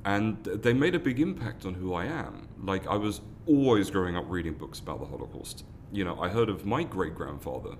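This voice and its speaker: A man's voice: deep voice, lively intonation, brisk, hardly any pauses, a little hiss or hum. A man, a foundation founder giving earnest interview answers, often restarting and repeating words. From London, England. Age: 30s.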